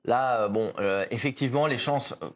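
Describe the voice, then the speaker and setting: A man calls a motor race live in a loose, chatty way. His voice sits at an ordinary pitch, and he often trails off and restarts. Faint noise hums in the background. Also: moderate pace. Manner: chatty, hesitant, many fillers and restarts. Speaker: motorsport commentator tied to a team.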